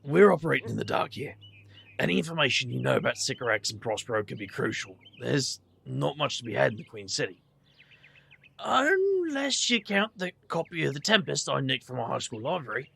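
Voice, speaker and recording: A man's voice: mid-range voice, big pitch swings, fairly quick, gravelly, a bit bright, husky, heavily acted. An actor playing a wry, guarded young man, hesitant and sardonic, in an audio drama. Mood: earnest.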